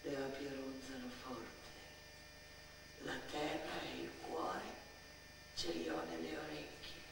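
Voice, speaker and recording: A woman playing a brooding little girl, slow and echoey. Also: very deep voice, lively intonation, gravelly, voice pushed down, heavily acted. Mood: hushed, ominous. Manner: poetic, deliberate, pausing between short phrases. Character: dramatic, brooding little girl recalling an abandoned villa. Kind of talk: theatrical radio monologue. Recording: echoey stage sound, distant, faint noise.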